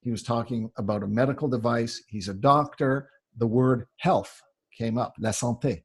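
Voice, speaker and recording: A Canadian man coaching on public speaking, explaining things in an emphatic, conversational way with a slightly husky voice. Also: mid-range voice, normal speed. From Canada (Ontario). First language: English. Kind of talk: conversational advice answering audience questions.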